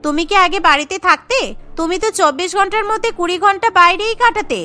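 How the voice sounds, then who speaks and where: A woman plays a whiny, resentful young daughter-in-law in a cartoon. Her voice is very high and sharp, fretting and complaining over a light music bed. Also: fast talker. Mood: indignant. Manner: complaining, fretful. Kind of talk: cartoon family drama dialogue.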